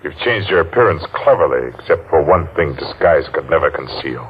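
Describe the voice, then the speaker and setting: An actor playing a masked, maimed survivor out for revenge in an old-time radio thriller, speaking in a menacing, taunting, deliberate way. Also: male voice, very deep voice, lively intonation. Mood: menacing, accusing. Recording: muffled, noisy old-time radio recording.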